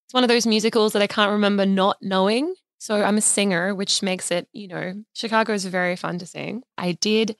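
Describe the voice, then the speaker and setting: A woman's voice: medium pitch, steady tone, fairly quick, hardly any pauses, dry recording, studio quality. A woman in her thirties co-hosting a podcast, chatting in a bubbly way and laughing often. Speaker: podcast co-host. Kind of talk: casual chat.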